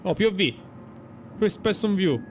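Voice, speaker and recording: A man's voice: high voice, lively intonation, normal speed, a little hiss or hum. Man doing casual game commentary. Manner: joking, reacting to the game. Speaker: gaming streamer. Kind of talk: casual let's-play commentary. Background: faint game music.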